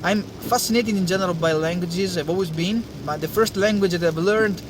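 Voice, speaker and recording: A young man with an Italian accent speaks in a fairly high voice, pausing often on fillers as he talks casually to camera. Street and traffic noise sits clearly behind him outdoors. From Italy. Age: young adult. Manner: hesitant, many uh fillers and restarts. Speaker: science channel host.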